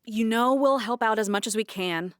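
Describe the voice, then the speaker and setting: An actress playing a practical woman bookkeeper in an audio drama, explaining things frankly with gentle sarcasm. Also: a little high, normal speed, no room sound, clean studio sound, close to an everyday voice. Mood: tentative, probing. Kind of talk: naturalistic audio drama dialogue.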